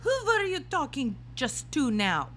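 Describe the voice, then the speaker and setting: An imperious storybook witch, put on by a woman reader, menacing and sneering. Her pitch rises and swoops as she snaps out commands, stretching certain words. There is clear background noise on the recording. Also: wildly swooping pitch, fairly bright tone, heavily acted. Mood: suspicious, snapping. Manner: snapping, commanding, drawn-out words.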